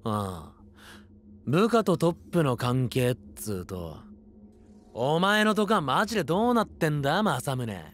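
An actor playing a brash, cocky gang-boss warlord in an anime audio drama, with rough slangy speech and swagger. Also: male voice, a little high, sing-song, unhurried, a bit bright, slight background noise. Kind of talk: Japanese anime voice drama acting.

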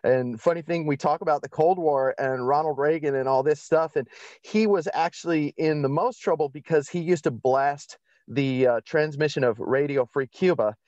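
A man's voice: on the high side, moderate pace, darkish tone, no echo. A man speaking as a podcast guest, telling and explaining things in a conversational, emphatic way and often correcting himself. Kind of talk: conversational interview storytelling. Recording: slightly dull lo-fi audio.

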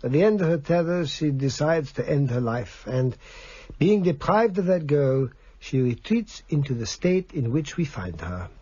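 An actor playing a gentle, probing Viennese doctor in a radio drama, formal and precise in his questions. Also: on the high side, sing-song, normal speed, slightly rough. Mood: musing, precise. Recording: slightly dull radio recording.

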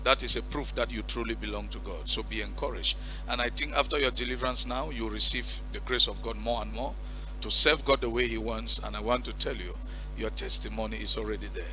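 A man preaching on a church stage into a headset mic, his voice bright and forceful, firing commands with heavy emphasis. Faint music sits under his words. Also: fairly low pitch, brisk, rough recording. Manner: commanding, shouting at spirits. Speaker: pastor. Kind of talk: deliverance prayer and counseling. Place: church stage with curtain backdrop.

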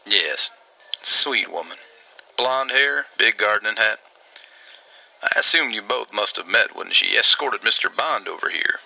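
A man's voice: lowish voice, lively intonation, unhurried, gravelly, bright, forceful tone, lo-fi sound, full character voice. An actor playing a plain-spoken, guarded small-town railman in a radio drama, dry and matter-of-fact. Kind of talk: old-time radio drama acting.

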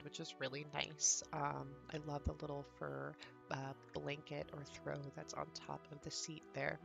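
A woman vlogger, congested and raspy from just waking up, chatting enthusiastically through a home decor showcase with ums and throat clearing. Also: deep voice. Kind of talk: casual product showcase narration.

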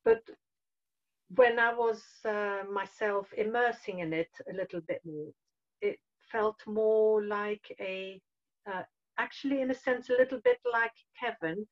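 A woman's voice: mid-range voice, measured pace. Woman, fifties, hesitant, searching for words, muffled call audio. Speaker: participant. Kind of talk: hesitant casual chat. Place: small room at home. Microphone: video call.

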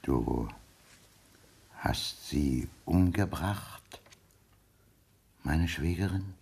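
Very deep, gravelly stern old man. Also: animated, darkish tone, heavily acted. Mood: shocked, reproachful. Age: older. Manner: slow, halting, grave. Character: stern, upright old father-in-law, indignant. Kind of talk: radio play acting.

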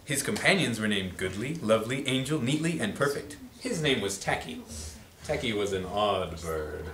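A young man reading a picture book aloud to friends with a playful, animated delivery. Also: lowish voice, normal speed. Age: young adult. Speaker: storyteller. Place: small apartment living room with kitchen. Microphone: camera a few metres away. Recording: echoey room, clear noise, distant camera mic.

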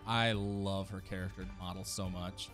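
A man's voice: low voice, level tone, moderate pace. A man in his thirties giving casual, enthusiastic reaction commentary into a close studio mic. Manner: enthusiastic, exclamatory. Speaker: streamer reacting to game trailers. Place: small home studio room. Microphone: close boom studio mic. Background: game trailer music.

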